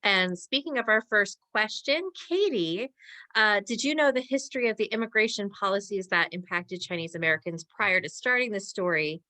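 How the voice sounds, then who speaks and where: A woman's voice: mid-range voice, normal speed, bright, forceful tone. A woman hosting and moderating an audience discussion, addressing panelists and viewers. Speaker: host, moderator.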